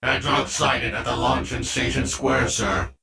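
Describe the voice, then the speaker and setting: A man's voice: medium pitch, little pitch movement, unhurried, creaky, bright, forceful tone, full character voice. A man voicing an obedient robot henchman with clipped, formal delivery in a fan audio drama. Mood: dutiful, flat. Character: obedient robot henchman reporting to his master. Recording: echoey, slightly distant voice.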